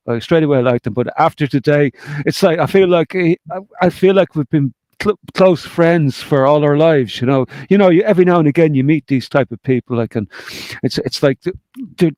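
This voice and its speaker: A man's voice: fairly high pitch, a little mellow, no echo. A man co-hosting a live online talk show, chatting casually and rambling, with a sniffly blocked nose. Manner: rambling, repeats words, swears casually. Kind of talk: casual live-stream chat.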